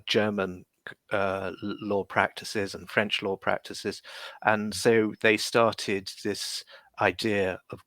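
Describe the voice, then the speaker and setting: A man's voice: fairly low pitch, a bit bright, dry recording. Hesitant lawyer man on a video call. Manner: hesitant, many fillers and restarts. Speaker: lawyer, podcast guest. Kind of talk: reflective interview answers.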